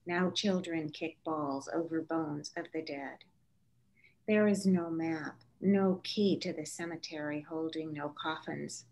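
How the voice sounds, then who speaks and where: A woman's voice: sits low, a little slow, a little mellow, poor audio. A woman giving a scripted reading of literary prose. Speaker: reader.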